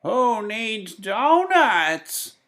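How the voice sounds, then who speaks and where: Man as a high, shouting sad old woman. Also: sing-song, exaggerated voice. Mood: plaintive, scornful. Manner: shouted, drawn-out, preachy.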